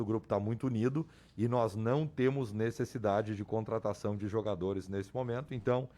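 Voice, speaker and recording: A man with a hoarse voice, reporting club sports news on a radio show in an explanatory way. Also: fairly low pitch, mellow. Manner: explanatory, restarts and repeats names. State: hoarse, losing his voice. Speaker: sports commentator.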